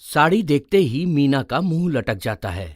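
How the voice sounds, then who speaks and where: A man reads scripted story narration in a mid-range voice. His intonation is very lively as he tells the tale, and the recording is dry, with no room sound. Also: on the high side, moderate pace, no echo.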